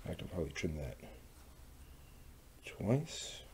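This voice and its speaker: A man casually thinking aloud as he works on a craft, muttering to himself in short, unhurried phrases. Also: sits low, very animated, dark tone, a little hiss or hum. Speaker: maker hosting a craft workshop video.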